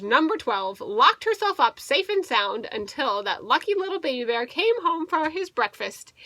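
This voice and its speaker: A woman teacher reading a picture book aloud to children, playfully expressive, stretching words for emphasis and adding asides. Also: fairly high pitch, no echo, rough recording.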